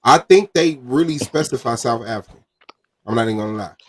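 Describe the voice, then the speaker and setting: A man's voice: medium pitch, lively intonation, a little fast. A man hosting a casual debate podcast, arguing his point insistently in slangy talk.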